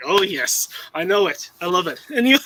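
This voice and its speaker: A man's voice: high-pitched, lively intonation, moderate pace, background noise. A young man from Southern California chatting casually on a live stream, rambling with many restarts and fillers. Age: young adult. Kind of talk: casual chat.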